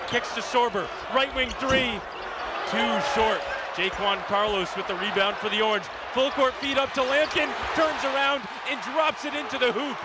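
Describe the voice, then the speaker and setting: A male radio sportscaster gives live basketball play-by-play. His voice sits high and carries plenty of energy. Very loud arena ambience runs behind him. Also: heavy background noise. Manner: energetic, rising excitement on big plays. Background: basketball arena with bouncing ball.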